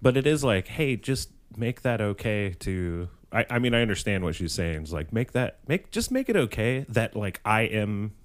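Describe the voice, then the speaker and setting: A man's voice: fairly low pitch, big pitch swings, moderate pace, hardly any pauses. A man co-hosting a casual chat, rambling with fillers and cracking jokes. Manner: rambling, many fillers, jokey. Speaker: podcast co-host.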